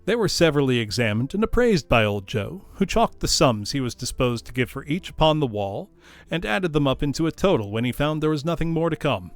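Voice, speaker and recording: A man narrating a classic tale as a storyteller, in an expressive audiobook style. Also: fairly high pitch, fairly quick, soft background music. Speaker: storyteller, streamer. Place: dry room, live stream.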